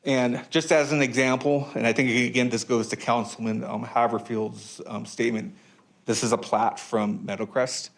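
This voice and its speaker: A man's voice: medium pitch, little pitch movement, normal speed, gravelly. A man giving public comment at a council meeting, hesitant, with frequent ums and restarts.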